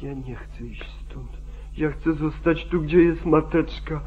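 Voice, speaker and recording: A man's voice: a little high, measured pace, natural voice. Man acting a frightened, pleading young farmhand, phone-like sound. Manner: pleading, repetitive, protesting. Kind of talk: old radio drama acting. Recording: muffled, narrow-band 1940s radio recording.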